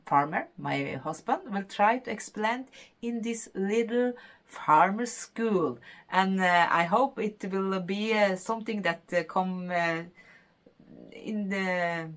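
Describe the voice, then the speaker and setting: Slow-talking Norwegian woman hosting a podcast. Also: mid-range voice, a bit bright. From Norway. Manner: halting, searching for English words with many fillers. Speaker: knitting podcast host. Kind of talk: casual podcast monologue.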